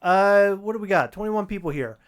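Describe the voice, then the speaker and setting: A podcast host, slightly hoarse, chatting on a livestream and ranting loosely with plenty of fillers and restarts. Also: medium pitch, animated, a little fast. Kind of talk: casual livestream chat.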